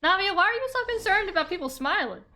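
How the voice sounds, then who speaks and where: A woman streaming a game chats in a high, bright voice. Her casual reactions come fast and lively.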